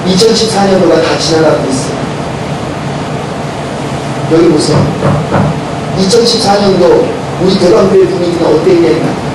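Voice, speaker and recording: Hoarse man, senior pastor: loud and preachy, throws questions at the pews. High-pitched and booming through a very echoey sanctuary, heard from a distance. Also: moderate pace, long pauses. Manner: preaching loudly with rising intensity, asks the congregation questions. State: hoarse from a cold. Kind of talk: sermon and closing prayer. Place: church sanctuary. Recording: very echoey church hall recorded from a distance with noise.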